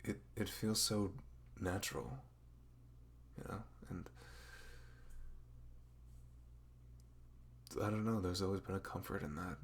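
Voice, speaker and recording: A man playing a dorky, smitten young best friend in an intimate ASMR roleplay, speaking in a halting, awkward, rambling way. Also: sits low, slight background noise. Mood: tender.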